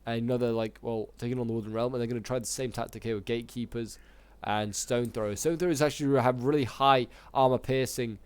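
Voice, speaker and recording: A man with a sore throat, slightly hoarse, giving casual, rambling commentary on a strategy game battle with lots of fillers. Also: mid-range voice, fairly even intonation, quick pace, darkish tone, no room sound. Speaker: gaming YouTuber casting a multiplayer battle.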